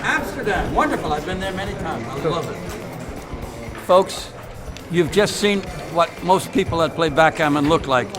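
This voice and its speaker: A man going around a tournament interviewing players, loud and joking, with music playing under him. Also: a little high, expressive, moderate pace. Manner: joking, boisterous. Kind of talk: loud roving street interview.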